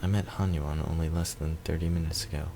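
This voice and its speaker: Very deep-voiced man reading, gravelly. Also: fairly even intonation, fast, background noise. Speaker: let's player reading a visual novel. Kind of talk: reading narration aloud.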